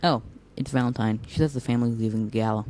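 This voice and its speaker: Guy acting a whimsical young operative dude, soft dark tone, mock-dramatic teasing, audio drama. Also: medium pitch, quick pace, slight background noise, close to an everyday voice, rough recording. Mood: playful.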